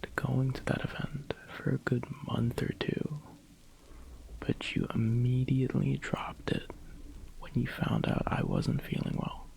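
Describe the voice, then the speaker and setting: A man playing a tender, adoring boyfriend in an ASMR roleplay, speaking in soft, breathy, gentle whispers. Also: medium pitch, level tone, measured pace, poor audio. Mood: warm, reassuring. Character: tender, adoring boyfriend comforting a sad partner.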